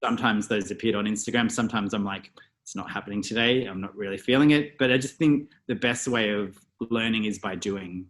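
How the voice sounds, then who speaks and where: An Australian man in a casual interview, talking reflectively and thinking aloud with many pauses and fillers. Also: lowish voice, fairly bright tone. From Australia (Melbourne). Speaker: guest, stylist and photographer.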